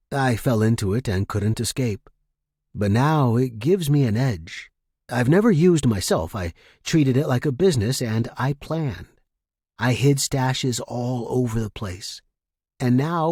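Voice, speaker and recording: A man reading first-person fiction as a narrator, in a measured, deliberate and dramatic way. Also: medium pitch, darkish tone, no echo, studio quality. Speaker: story narrator.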